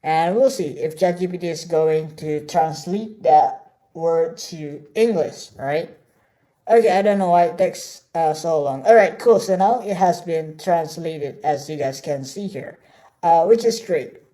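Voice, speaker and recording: A woman's voice: low-pitched, unhurried, mellow. A woman whose first language is Indonesian, teaching an online course over a screen share, casual with many fillers and check-ins to her viewers.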